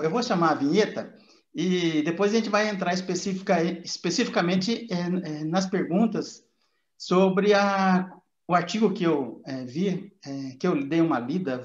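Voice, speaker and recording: Male Brazilian interviewer, high-pitched, casual conversational host delivery, dull lo-fi sound. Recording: roomy, dull lo-fi audio.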